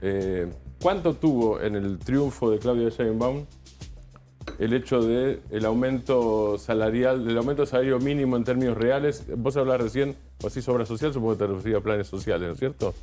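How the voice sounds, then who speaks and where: A man's voice: medium pitch, normal speed, warm tone, soft background music. A man with an Argentine accent interviewing a guest on a TV news channel, asking probing follow-up questions.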